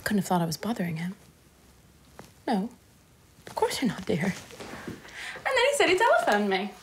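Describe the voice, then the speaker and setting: A woman's voice: medium pitch, huge pitch swings, normal speed, slight background noise. Woman playing an anxious young woman pleading. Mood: desperate pleading. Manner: pleading, swings between whispers and outbursts. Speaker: actress performing a monologue. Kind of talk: dramatic monologue.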